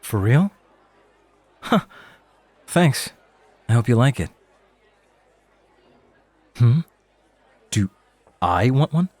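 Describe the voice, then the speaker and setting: A man's voice: medium pitch, sing-song, drawn-out words, long pauses, a little mellow, no echo, a faint crowd, full character voice. A man acting in an ASMR roleplay as a laid-back rookie club host, casual, hesitant and a little awkward. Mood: eager, casual.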